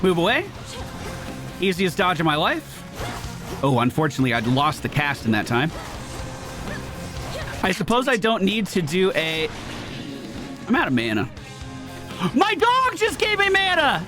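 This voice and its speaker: A man with a fairly high, very lively voice hosts a gameplay video. He talks casually and excitably, cursing here and there, with music clearly playing behind him. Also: unhurried, long pauses. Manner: animated, exclamatory, swears casually. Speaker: gaming video host. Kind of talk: casual live game commentary.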